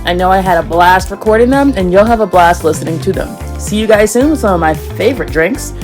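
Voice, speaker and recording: A woman's voice: medium pitch, animated, a little fast, music in the background. A woman recording a playful, upbeat voice message for a podcast. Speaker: returning podcast guest.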